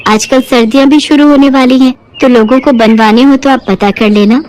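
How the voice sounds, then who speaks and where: A woman's voice: high voice, fairly even intonation, normal speed, a little mellow, slight background noise, lightly acted. A woman voicing a humble, earnest village knitter who speaks politely and modestly in a Hindi moral-story cartoon. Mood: earnest, worried.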